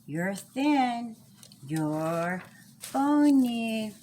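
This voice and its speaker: A woman's voice: on the high side, sing-song, very slow, dark tone, a little hiss or hum, exaggerated voice. A woman voicing a taunting little boy bully in a storybook, jeering with emphatic, mocking delivery.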